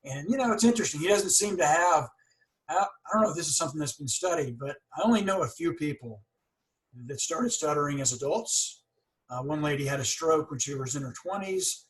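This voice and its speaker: A man in his forties hosts a casual interview podcast. He stutters openly, with blocks and repeated sounds, but speaks with earnest candor. His voice sits a little higher than most men's. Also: lively intonation, fairly quick, rough recording. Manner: candid and earnest. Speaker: podcast host.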